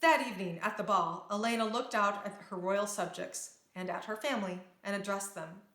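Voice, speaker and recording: A woman reading a children's storybook aloud in a dramatic, expressive storytelling manner. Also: mid-range voice, moderate pace. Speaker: storybook reader. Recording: roomy, echoing sound.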